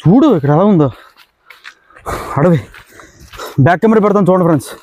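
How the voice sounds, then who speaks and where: A young man, chatting casually and excitably to camera as a travel vlogger, in slangy, repetitive phrases. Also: high-pitched, fast talker, lo-fi sound. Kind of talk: casual vlog chat to camera. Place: outdoors on forest trail and by waterfalls. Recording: outdoor handheld recording with background noise.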